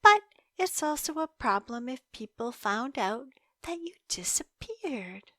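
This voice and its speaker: A young woman playing an obsessive, sweetly menacing kidnapper in an intimate roleplay, cooing and teasing with deliberate delivery that slips into whispers. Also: high voice, very animated, a bit bright, no echo, studio quality, full character voice. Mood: adoring, obsessive. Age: young adult. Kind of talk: intimate scripted roleplay monologue.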